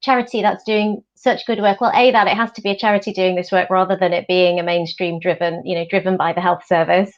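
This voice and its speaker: A woman's voice: lowish voice, little pitch movement, fast talker, hardly any pauses. Woman, panel chair, chatty, hesitant fillers, muffled lo-fi sound. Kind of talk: panel moderating.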